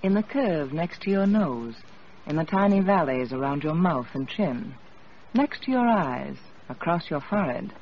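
A man's voice: a little high, animated, moderate pace, slightly rough. A man reading an old radio commercial in an earnest, persuasive announcer's voice. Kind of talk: vintage radio commercial read. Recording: dull old radio broadcast with hiss.

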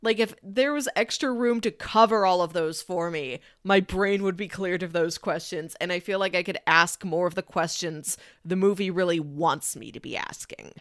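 A woman's voice: fairly low pitch, moderate pace, hardly any pauses, no echo, clean studio sound. A woman co-hosting a film podcast in casual conversation, thinking aloud and posing rhetorical questions.

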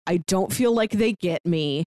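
A woman talks through a film in a casual podcast style, slipping into quoted lines as she retells. Her pitch sits in the middle, and the sound is very dry and close, without room echo. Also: animated, normal speed, a little mellow. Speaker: podcast co-host.

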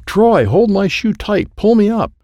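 A harried dad voiced by a man speaks in an audiobook scene. He is urgent and exclamatory, with very lively pitch and some creak in the voice. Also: normal speed, dry recording. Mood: urgent encouragement. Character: harried, urgent father of a young boy. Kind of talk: audiobook character dialogue.

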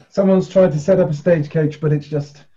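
A man's voice: fairly high pitch, fairly even intonation, measured pace, dark tone. A man casually running a tabletop role-playing game and explaining the rules as game master.

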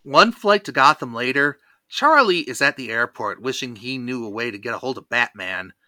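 A podcast host recaps a cartoon with theatrical flair. The voice is bright and very animated, rising and falling freely. It is recorded dry and close. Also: medium pitch, moderate pace, no room sound. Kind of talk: lively cartoon episode recap.

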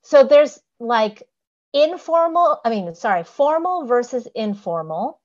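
A woman's voice: a little high, animated, a little slow, a little mellow, muffled recording. A woman co-hosting a podcast, explaining things carefully in casual chat, with frequent "um"s and a giggly manner. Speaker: podcast co-host.

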